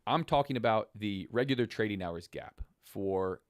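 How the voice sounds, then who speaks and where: Man, low pitch, raspy and hoarse, casual livestream explaining, informal address, dry sound. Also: lively intonation, moderate pace, no room sound. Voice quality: raspy smoker's voice, partly lost. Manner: informal, buddy-like address to viewers. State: hoarse, voice half gone. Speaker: host of a live trading stream.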